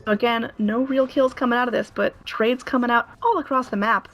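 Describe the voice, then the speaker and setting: A woman giving live play-by-play commentary on an esports match. Her voice is hoarse, and she keeps up a running narration with frequent "uh" fillers. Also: a little high, fairly even intonation, fairly quick.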